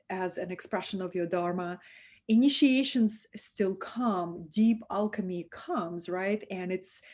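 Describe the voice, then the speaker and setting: A woman from Russia with a Russian accent, hosting a live-streamed spiritual talk and speaking softly and affectionately to her viewers. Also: mid-range voice. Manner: soft, affectionate, reads out viewer comments.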